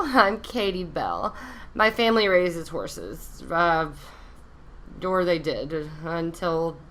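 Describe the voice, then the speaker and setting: A woman acts out a tipsy society heiress in a radio drama. Her voice is fairly low and bright, dragging slowly through maudlin, slurred rambling. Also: exaggerated voice. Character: drunken, weepy, rambling rich horse heiress woman.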